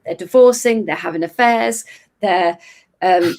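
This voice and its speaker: A middle-aged woman therapist with a croaky voice, giving thoughtful explanatory answers as an interview guest, restarting phrases now and then. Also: lowish voice, unhurried, a little mellow.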